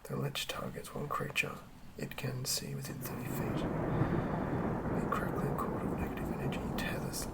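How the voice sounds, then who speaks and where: A man reading fantasy lore as an ASMR narrator in a hushed, breathy, gravelly whisper, measured and with pauses. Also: mid-range voice. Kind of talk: ASMR whispered read-through of a fantasy monster manual.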